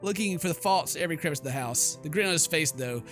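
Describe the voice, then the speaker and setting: Bright, high-voiced male let's player. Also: quick pace, soft background music. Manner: jokey, bro-ish, expressive. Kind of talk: game commentary, reading story text aloud.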